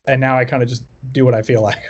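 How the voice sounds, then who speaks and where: A man's voice: medium pitch, little pitch movement, quick pace, muffled recording. A man chatting casually as a musician guest on a podcast, telling personal stories in a wry, self-deprecating way. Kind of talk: casual chat, personal storytelling.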